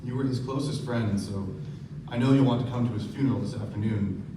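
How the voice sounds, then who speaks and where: A man's voice: medium pitch, fairly quick, background noise, natural voice. A man playing an earnest, sombre narrator in a live radio play, delivering scripted literary prose and dialogue. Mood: earnest, urgent. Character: earnest, sombre male narrator and loyal friend. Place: live stage performance. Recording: echoey distant stage.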